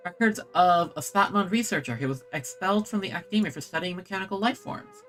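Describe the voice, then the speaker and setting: A woman's voice: lowish voice, quick pace. A woman streaming a video game, giving casual commentary and reading in-game text aloud. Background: game music.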